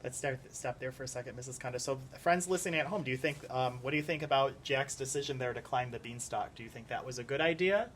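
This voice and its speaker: A man's voice: mid-range voice, fast talker, few pauses, fairly bright tone. A man co-teaching a lesson for young children, asking questions and explaining things conversationally, a little hesitantly. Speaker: grade school co-teacher. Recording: clear background noise.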